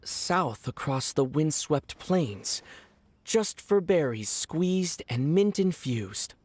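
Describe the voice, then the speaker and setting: A man playing a young traveler hero in a video game, reciting verse deliberately. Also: fairly high pitch, lively intonation, darkish tone, dry recording. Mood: composed.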